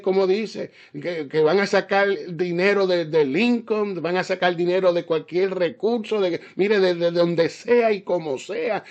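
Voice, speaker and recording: A man preaching a fiery sermon, often stopping to ask whether his listeners understand, with stammered restarts and repeated words. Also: high-pitched. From Caribbean island. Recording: slightly dull, lo-fi sound.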